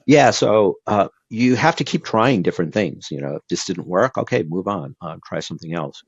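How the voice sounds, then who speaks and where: A man's voice: fairly low pitch, very animated, normal speed, creaky, slightly rough, no room sound, dull audio. A man from Brazil whose first language is Portuguese, giving earnest advice as an interview guest with lots of fillers and restarts. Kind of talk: casual interview talk.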